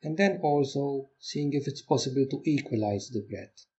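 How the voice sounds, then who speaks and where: Male meditation guide, mellow and husky, gentle instructional delivery with pauses, lo-fi muffled sound. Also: medium pitch. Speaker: meditation teacher.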